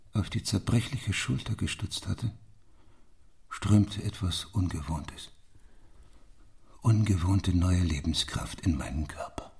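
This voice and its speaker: Radio play role: brooding, embittered gentleman of middle years, deep-set voice, intense confessional delivery. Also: creaky. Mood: hushed, tender. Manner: deliberate, intense storytelling. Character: bitter, brooding English gentleman confessing his past. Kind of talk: German radio play acting, dramatic monologue.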